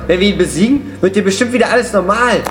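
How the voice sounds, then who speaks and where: A man's voice: high-pitched, quick pace. A young man acting scripted adventure dialogue in an audio drama. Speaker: performer playing himself in audio drama. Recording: music bed behind the voice.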